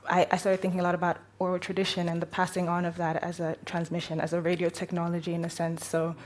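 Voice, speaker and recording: A woman's voice: on the low side, completely flat delivery, fast, a little hiss or hum. A woman on a live panel, speaking thoughtfully and searching for words as she reflects.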